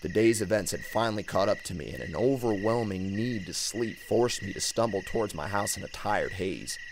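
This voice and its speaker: A man narrating a scripted first-person horror story in an expressive, suspenseful storytelling manner. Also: fairly low pitch, normal speed, background noise. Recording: music bed under narration. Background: background music.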